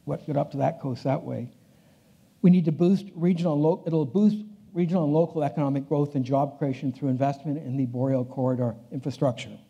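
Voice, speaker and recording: Older man with a dark, mellow voice. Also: on the high side, fairly even intonation, a little fast, vocal fry, slightly rough, dull audio. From Canada. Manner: conversational, restarts phrases, walks the stage. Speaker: keynote speaker. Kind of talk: conference keynote lecture. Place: large conference hall stage.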